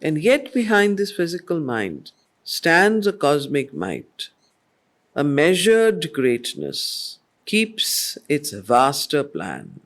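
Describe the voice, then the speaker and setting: A mature woman reads poetry and explains it to a study group in a deliberate, measured way. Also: sits low, sing-song. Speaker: study circle facilitator, teacher. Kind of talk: slow poetry reading with explanatory teaching.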